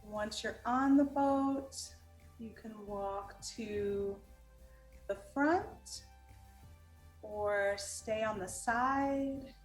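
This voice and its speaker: A woman leading a guided meditation in a calm, gentle manner, giving unhurried instructions with long pauses. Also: fairly high pitch. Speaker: meditation guide and art teacher. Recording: roomy echo with music underneath.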